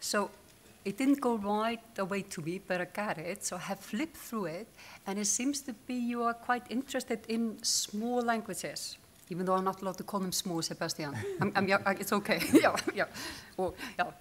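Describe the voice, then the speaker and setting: A woman's voice: medium pitch, moderate pace, hardly any pauses. A woman from Iceland chatting playfully with a speaker on a conference stage. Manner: playful, laughing. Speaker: co-presenter. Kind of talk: casual stage chat.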